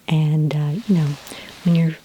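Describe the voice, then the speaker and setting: A woman playing a patient, reassuring sci-fi skincare consultant in a soft-spoken, half-whispered ASMR roleplay, gentle and a little hesitant. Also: low voice, flat delivery, slight background noise, rough recording. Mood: reassuring, helpful. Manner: gentle, hesitant, unhurried, polite questioning.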